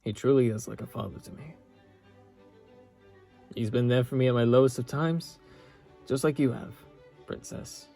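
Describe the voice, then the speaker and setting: A man playing a loyal young knight in love with his princess, speaking gently and earnestly with halting pauses in a romantic audio roleplay. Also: mid-range voice, vocal fry, natural voice. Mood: fond, earnest. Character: loyal young knight, the princess's tender childhood-friend lover. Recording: orchestral music behind the voice.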